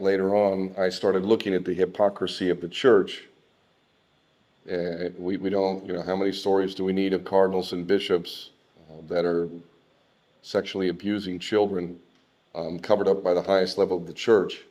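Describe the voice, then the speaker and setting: A man with an American accent speaks at a talk about his own life. His voice sits low and moves very little in pitch. He talks haltingly and reflectively, repeating words as he finds his way. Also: level tone, a little mellow. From Southern California. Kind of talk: personal testimony in an unscripted talk.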